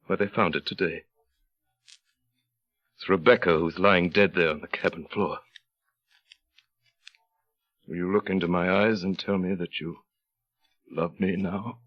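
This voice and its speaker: An actor playing a haunted, bitter, despairing husband in 1930s radio drama, with a brooding, halting delivery. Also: male voice, low voice. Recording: muffled old radio recording.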